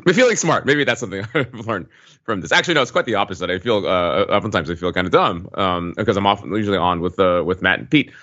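A man's voice: low voice, fast talker, fairly bright tone, highs cut off. A man chatting casually and self-deprecatingly as a panelist on a podcast. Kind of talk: casual chat.

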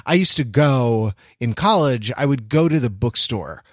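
A man in his forties chatting casually and reflectively as a podcast panelist, in a halting, rambling, wry storytelling manner. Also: mid-range voice, lively intonation, no room sound, studio quality. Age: 40s.

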